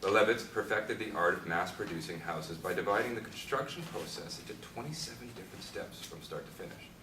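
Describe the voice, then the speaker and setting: Echoey, distant, low-voiced aging Beat architect played by a man. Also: brisk, natural voice. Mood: matter-of-fact. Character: aging male Beat architect, reflective, pedantic storyteller. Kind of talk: stage play scene reading. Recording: echoey, noisy room from far off.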